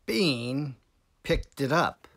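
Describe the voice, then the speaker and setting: A man reads a children's storybook aloud with deliberate drama. His voice is forward and bright, and his pitch swings widely. He takes his time, holding pauses for suspense. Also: animated. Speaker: read-aloud narrator. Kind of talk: expressive children's storybook reading.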